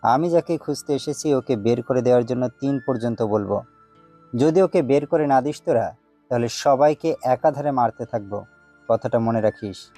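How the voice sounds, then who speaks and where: A man acting as an arrogant young gang boss in an audio drama. His voice is dark and mellow, and his manner is domineering and violent. He speaks in threats, over a music bed. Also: mid-range voice, moderate pace, lightly acted. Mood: menacing.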